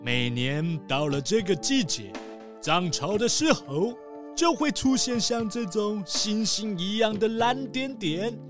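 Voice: medium pitch, expressive, a little slow, music in the background, close to an everyday voice. A performer voicing a boisterous rich seal who owns cruise ships, hammy, laughing often and dropping English words. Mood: hearty.

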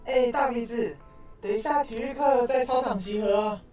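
A woman's voice: on the high side, moderate pace, mellow, faint music behind, natural voice. A woman playing a dismissive, teasing schoolgirl classmate in an audio drama. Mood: mocking.

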